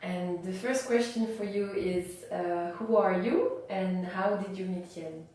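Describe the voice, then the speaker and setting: A woman's voice: fairly low pitch, unhurried, warm tone. Young woman interviewer in an echoey room. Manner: hesitant questions, frequent backchannel mm-hmms. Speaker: interviewer, network coordinator. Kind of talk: casual interview. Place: small office with posters and plants. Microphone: camera mic from across the room. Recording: echoey room from a distant mic.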